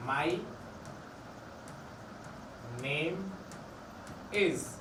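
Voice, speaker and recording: A man teaches a class, stretching his words and repeating each phrase at an unhurried pace. His voice sits a little high. He is heard from far off in an echoey room, with steady noise behind him. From India. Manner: slow, repetitive explaining, drawn-out words. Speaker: Spanish teacher. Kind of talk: classroom lesson.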